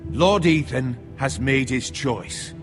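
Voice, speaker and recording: 남자 배우가 연기하는 음악 위로 들리는 충직한 참모. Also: male voice, medium pitch, slow pace, over music, lightly acted. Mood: measured, loyal. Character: pragmatic, cautious counsellor loyal to his young lord. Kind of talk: dramatic video game acting.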